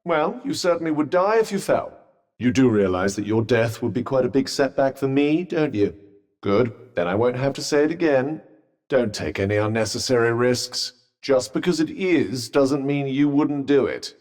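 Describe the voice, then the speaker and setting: An actor voicing a sentient magical vambrace in a video game, a wry, haughty, cautious companion with a British accent and dry, sardonic, formal understatement. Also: male voice, medium pitch, animated, normal speed, darkish tone, natural voice. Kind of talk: video game character dialogue. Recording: long reverberant echo on the voice.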